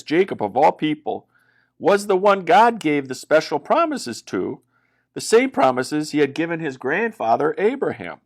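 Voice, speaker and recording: Animated man telling children's Bible stories. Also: on the high side. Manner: dramatic, expressive delivery with exaggerated emphasis.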